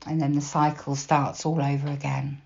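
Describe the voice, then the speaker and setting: Female meditation guide, low register, soft and unhurried delivery, plenty of pauses, slightly dull recording. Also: level tone, creaky. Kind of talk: guided body scan meditation, gentle instruction.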